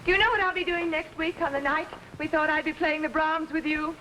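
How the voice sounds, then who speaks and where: A young woman pianist with a high voice, demure on the surface and scheming beneath. The delivery is 1950s television melodrama. The old soundtrack carries clear noise. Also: steady tone, brisk, sharp tone, poor audio. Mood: intense, wistful. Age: young adult. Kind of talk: 1950s television drama acting.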